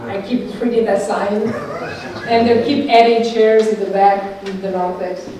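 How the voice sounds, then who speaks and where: Echoey middle-aged Italian-accented sister giving a talk. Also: female voice, medium pitch, little pitch movement, measured pace. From Northern Italy. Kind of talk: informal talk to a group. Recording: very echoey room, distant mic, faint noise.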